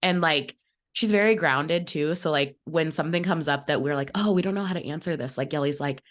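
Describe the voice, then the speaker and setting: A woman's voice: sits low, fairly quick. A young woman chatting casually as co-host, upbeat and filling her talk with "like", a little sick. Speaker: operations manager and co-host. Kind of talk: casual chat.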